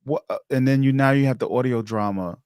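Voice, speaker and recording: A man hosting a casual podcast interview, talking haltingly and circling back to rephrase his questions. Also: medium pitch, darkish tone, clear, resonant tone, no room sound, studio quality.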